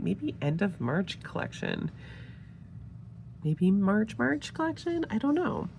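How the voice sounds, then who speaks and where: Woman with a cold, slightly nasal, very gravelly low voice, unhurried delivery, faint noise behind. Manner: relaxed, gushing, with fillers and soft trailing asides. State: getting over a head cold, a bit nasal. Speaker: planner sticker reviewer. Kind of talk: casual show-and-tell narration.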